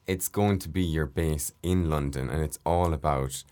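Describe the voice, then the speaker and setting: A man's voice: very deep voice, moderate pace. A young Irish man, an actor, chatting casually in an interview and telling stories from his work. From Ireland. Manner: chatty, anecdotal, relaxed. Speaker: actor guest.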